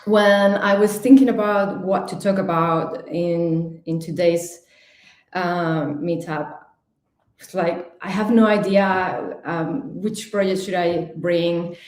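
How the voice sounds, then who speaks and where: A woman's voice: lowish voice, slow, roomy sound. A young woman with a Spanish accent giving a chatty, slightly nervous talk as a guest speaker. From Spain. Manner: chatty, nervous, lively with fillers and restarts. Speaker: guest speaker, digital designer. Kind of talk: online talk presentation.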